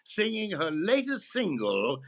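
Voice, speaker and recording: An actor playing a showy department store announcer giving a formal introduction in a radio comedy. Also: male voice, high-pitched, huge pitch swings, measured pace, exaggerated voice. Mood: grand, welcoming.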